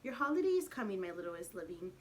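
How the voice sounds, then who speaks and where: A woman's voice: medium pitch, big pitch swings, quick pace, voice pushed down, close to an everyday voice. A woman voicing a patient, affectionate old grandfather in a children's storybook. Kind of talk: storybook character voice.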